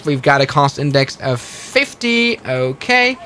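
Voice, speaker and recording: A man's voice: medium pitch, sing-song, unhurried, bright, forceful tone, slight background noise. A man casually talking through a flight simulator stream, narrating each step aloud and correcting himself as he goes. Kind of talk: casual live-stream commentary.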